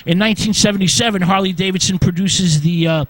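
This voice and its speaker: High-voiced man lecturing haltingly. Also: steady tone, no echo, low-quality recording. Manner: halting, frequent uh between short phrases. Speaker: radio host. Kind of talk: informal history lecture read from notes.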